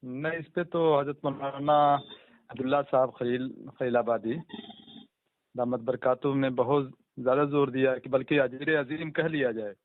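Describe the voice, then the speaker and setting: A man's voice: mid-range voice, steady tone, moderate pace, no room sound. A man receiving a phone call, giving reserved, brief and mostly agreeing replies over a tinny recorded line. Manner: reserved, agreeing in short answers.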